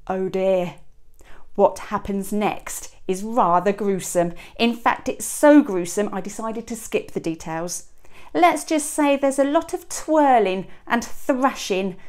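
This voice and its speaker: Woman reading a picture book expressively. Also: medium pitch. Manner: expressive, dramatic emphasis and pauses. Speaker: teacher reading to a young class. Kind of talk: children's picture book read-aloud. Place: small classroom, indoors. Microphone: clip-on lapel mic.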